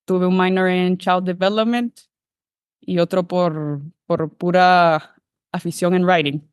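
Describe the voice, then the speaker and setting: A woman's voice: on the low side, steady tone, slow, smooth, no room sound, clean studio sound. A woman from Guatemala, a behavior analyst, telling her career path in an interview, thoughtful and full of restarts. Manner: thoughtful, many fillers and restarts. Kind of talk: relaxed interview conversation.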